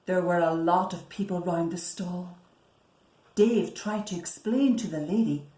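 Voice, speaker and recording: Female children's storyteller, unhurried and expressive, hushed touches, mid-range pitch, echoey room sound. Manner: slow, deliberate, often hushed for effect. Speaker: library storyteller. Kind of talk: expressive picture-book reading for young children. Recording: roomy, echoing sound.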